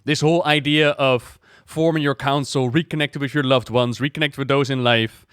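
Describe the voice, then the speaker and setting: A man giving casual reaction commentary as a game lore streamer, musing out loud in a conversational way. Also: medium pitch, steady tone, moderate pace, clean tone, no room sound, clean studio sound.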